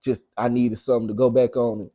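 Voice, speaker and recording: Mellow young Southern man, slangy. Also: mid-range voice, level tone, quick pace, dry recording, muffled recording. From Arkansas, United States. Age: young adult. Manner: slangy, repeats phrases for emphasis. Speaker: guest, rapper. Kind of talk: casual interview talk.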